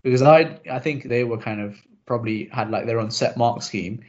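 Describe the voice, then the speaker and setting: A man's voice: medium pitch, a little mellow. Chatty young man, slightly muffled audio. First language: Urdu. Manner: chatty, self-deprecating, quick asides. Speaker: podcast host. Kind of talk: casual interview chat.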